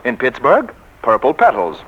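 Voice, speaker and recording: A man's voice: medium pitch, huge pitch swings, normal speed, a bit bright, slightly rough, full character voice. An actor playing an exasperated, blustering male boss of a publishing house in a 1940s radio comedy. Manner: blustery, grumbling. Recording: dull, lo-fi 1940s radio recording.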